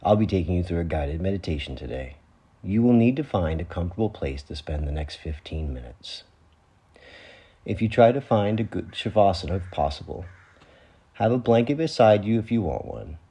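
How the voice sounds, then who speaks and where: A man leading a guided meditation, giving gentle, unhurried instructions in short phrases with pauses between them. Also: deep voice, animated. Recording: roomy, echoing room sound.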